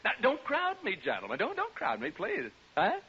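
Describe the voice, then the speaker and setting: A man's voice: very high-pitched, wildly swooping pitch, moderate pace, gravelly, bright, forceful tone, slightly rough, full character voice. An actor playing a nervous radio DJ who rambles and stammers as he cracks up in a haunted house, in 1940s radio style. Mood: hysterical, unhinged. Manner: rambling, stammering, repeating himself. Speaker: radio announcer. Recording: hissy, muffled vintage 1940s radio recording.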